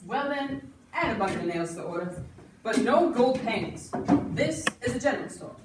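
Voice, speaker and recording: A woman's voice: on the high side, expressive, moderate pace, lightly acted. A young woman playing a practical, folksy general store shopkeeper in an amateur stage play. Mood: brisk, firm. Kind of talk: projected amateur stage acting. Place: school stage, distant camera. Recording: echoey room from far off, noisy.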